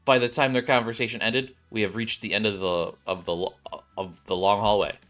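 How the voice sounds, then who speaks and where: A man's voice: lowish voice, level tone, fairly quick, a bit bright, faint music behind. A man streaming a game, reading its story text aloud and commenting casually. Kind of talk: casual gameplay commentary and read-aloud narration.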